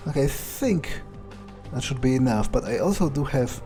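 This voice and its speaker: A man doing in-character game commentary, thinking aloud in a deliberate, dryly musing manner. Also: mid-range voice, expressive, mellow, soft background music. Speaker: let's player role-playing a vampire character.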